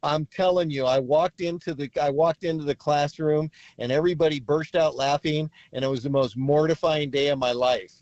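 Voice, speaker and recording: A man's voice: fairly high pitch, fairly even intonation, moderate pace, few pauses, darkish tone, dry recording. An American man in his sixties from California, phoning a radio show and telling an anecdote. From California, USA. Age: 60s. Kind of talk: anecdotal storytelling. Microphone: phone. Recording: slightly muffled phone call.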